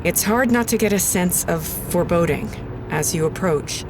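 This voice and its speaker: An actress playing an uneasy female radio presenter, narrating an eerie investigation in a measured, deliberate way. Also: fairly low pitch, background noise, lightly acted. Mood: foreboding, measured. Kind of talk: scripted first-person narration.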